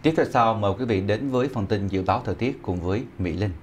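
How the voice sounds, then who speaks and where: A man's voice: fairly low pitch, fast. A middle-aged man reading scripted news formally as an anchor in a TV studio.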